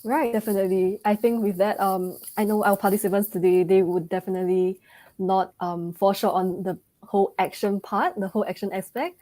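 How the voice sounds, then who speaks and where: Mellow-voiced woman moderating an event. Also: mid-range voice, steady tone, brisk, poor audio. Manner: polite, with frequent ums. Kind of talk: hosting an online event.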